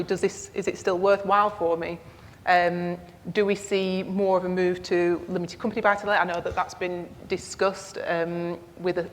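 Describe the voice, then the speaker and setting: A woman with a low, flat, even voice speaks on a panel, measured and careful with many pauses and fillers. A long hall reverb trails behind her words. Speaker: lender panelist. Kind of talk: panel discussion. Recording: echoey hall.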